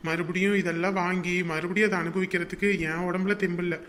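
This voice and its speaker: A man voicing a groom who speaks gently to his bride and shouts in anger at others. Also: high-pitched, level tone, fast talker, a little hiss or hum. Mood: gentle. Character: hot-tempered man, groom and angry brother.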